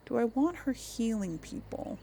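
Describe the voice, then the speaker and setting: A woman's voice: on the high side, lively intonation, normal speed, gravelly, warm tone. A woman giving casual game commentary, musing aloud as she plays and role-plays dialogue. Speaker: gamer, series host.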